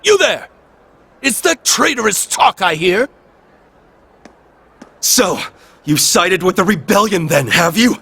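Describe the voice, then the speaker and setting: Game role: menacing soldier, very raspy, slow, accusing delivery. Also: male voice, high voice, sing-song, pushed and bright, full character voice.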